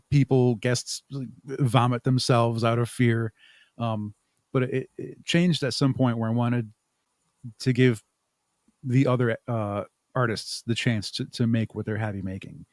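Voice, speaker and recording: A man talks casually and reflectively, as a guest in an interview. He feels his way toward his words in a mid-pitched voice. The sound is dry, with very little room to it. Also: a little mellow, no room sound. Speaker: podcast guest, haunt makeup artist and audio drama creator.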